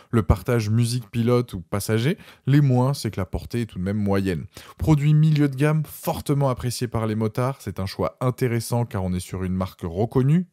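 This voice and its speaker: A man's voice: lowish voice, mellow, no echo, clean studio sound. A man presenting a scripted product review voice-over, informally addressing viewers directly.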